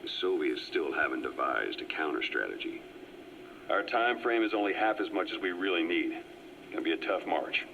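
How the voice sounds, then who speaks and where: An actor playing a calm, well-informed agent who briefs a comrade in a measured, explanatory way. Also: male voice, sits low, creaky, slight background noise, full character voice. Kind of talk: video game cutscene voice acting. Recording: muffled cassette tape audio.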